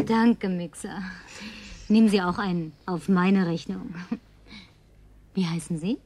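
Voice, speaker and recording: A woman's voice: on the low side, slow pace, a little mellow, a little hiss or hum. An actress playing a self-assured, flirtatious woman who likes a drink, in natural German radio play dialogue with a breezy, teasing, commanding manner. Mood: playful, flirtatious.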